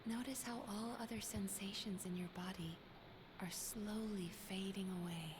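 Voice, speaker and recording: A woman voicing a gentle, doting dream therapist in a video game, tenderly coaxing with affectionate, hushed guidance. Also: medium pitch, steady tone, moderate pace, noisy background, close to an everyday voice. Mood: soothing, hypnotic. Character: gentle, doting female Baku dream therapist, soothing and playful. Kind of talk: scripted video game voice acting. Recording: reverberant, with prominent music behind. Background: loud game music.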